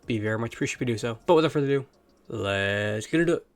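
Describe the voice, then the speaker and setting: A man's voice: mid-range voice, expressive, rapid-fire, faint music behind. A young man hosting his own sports talk video, congested from illness, rambling casually and swearing now and then. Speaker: sports channel host.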